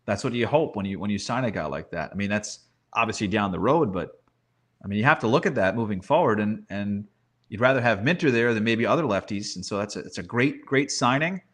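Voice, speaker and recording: A man's voice: on the low side, animated, fairly quick. A man giving casual sports analysis as a guest, thinking aloud and tossing in rhetorical questions.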